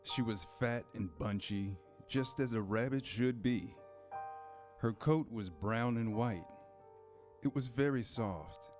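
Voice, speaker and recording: A man narrating a children's story from a script in a measured, deliberate way. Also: medium pitch, darkish tone. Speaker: storybook narrator in a reader's theater. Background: instrumental music.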